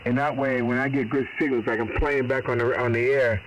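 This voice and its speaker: A man's voice: medium pitch, steady tone, a little fast, dull audio. Man chatting over noisy ham radio. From Boston, United States. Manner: folksy, rambling, frequent "man" and "you know". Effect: heard over shortwave ham radio. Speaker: amateur radio operator. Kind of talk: casual radio chat. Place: home radio shack. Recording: noisy shortwave radio transmission. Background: radio static.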